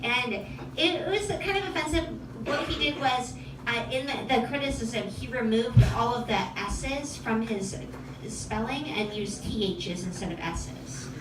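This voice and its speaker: A young woman with a lisp performing a stand-up comedy set, wry and self-deprecating, with halting restarts. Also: on the high side, background noise. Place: comedy club stage with a laughing audience. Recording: echoey room, distant mic, loud crowd.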